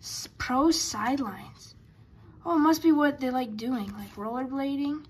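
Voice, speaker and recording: High-pitched chatty woman opening cards. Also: measured pace, a little mellow, husky. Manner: chatty, stumbles over player names. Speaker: card collector. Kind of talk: casual card-opening commentary. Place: indoors at a table.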